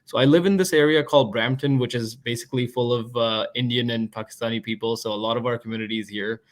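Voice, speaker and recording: A man in his twenties, a podcast guest and product manager, talking in a relaxed, chatty way. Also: mid-range voice, quick pace. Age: 20s. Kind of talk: casual interview talk.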